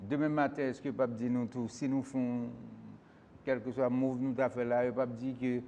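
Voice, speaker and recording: A male talk show host who presses his guest with question after question. His voice sits at an average pitch for a man. A faint low rumble runs behind him. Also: flat delivery, a little fast, dark tone. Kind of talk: call-in political talk show.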